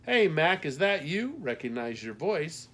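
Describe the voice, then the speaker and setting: A man's voice: fairly high pitch, very animated, a little slow, fairly bright tone, pitched above the performer's own voice, full character voice. A man voicing a matronly small-town postmaster woman in an audiobook, folksy, gossipy and teasing.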